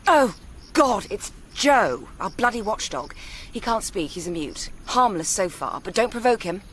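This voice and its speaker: A woman's voice: mid-range voice, big pitch swings, a little slow, fairly bright tone, a little hiss or hum. An actress playing an articulate young Englishwoman, educated, confiding and lightly teasing, in naturalistic radio drama dialogue. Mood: alarmed.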